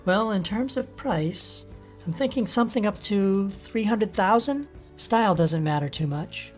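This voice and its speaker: A man putting on a bright young woman's voice. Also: high-pitched, expressive, a little slow, faint music behind, pitched above the performer's own voice. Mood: friendly. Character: friendly young woman house hunter. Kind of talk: audiobook character voice.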